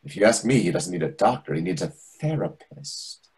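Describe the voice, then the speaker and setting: A man's voice: sits low, expressive, normal speed, a little mellow, slightly rough, heavily acted. A man in his thirties playing a dramatic, flustered, camp waiter in a play reading over a video call, indignant and arch. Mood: agitated. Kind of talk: readers theatre play reading.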